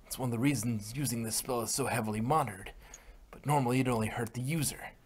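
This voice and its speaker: A man's voice: mid-range voice, fast talker, a little hiss or hum, lightly acted. A man voicing a protective young stallion guard captain in a fanfic reading, earnest and authoritative. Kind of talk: fanfic read-aloud on stream.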